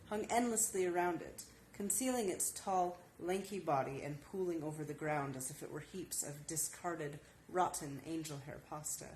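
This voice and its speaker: A woman's voice: low voice, darkish tone. A woman author reading descriptive fiction aloud as a dramatic narration. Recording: echoey room with faint noise.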